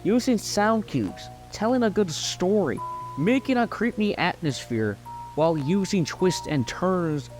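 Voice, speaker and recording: Animated higher-voiced man narrating a video essay. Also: a little slow, faint music behind.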